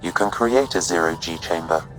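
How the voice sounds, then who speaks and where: An actor playing a polite, helpful starship artificial intelligence in a video game, formal and measured. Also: male voice, low-pitched, fairly bright tone, soft background music, rough recording.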